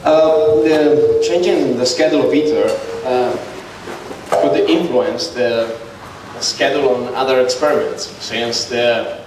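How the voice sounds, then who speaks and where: A man's voice: mid-range voice, a little slow. Male audience questioner, hesitant with many fillers, recorded from a distance in a reverberant hall. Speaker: audience member asking a question. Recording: echoey hall, distant mic, faint noise.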